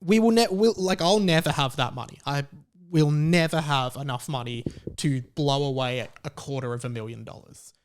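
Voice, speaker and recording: Higher-pitched man talking casually, emphatically. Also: moderate pace. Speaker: podcast host. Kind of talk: casual opinion monologue.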